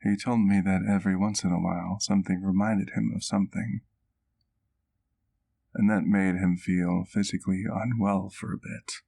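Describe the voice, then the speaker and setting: A man playing a doting, wistful vampire doctor in an ASMR roleplay, telling his story gently in formal, literary language. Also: deep voice, steady tone, moderate pace, creaky. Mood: reflective.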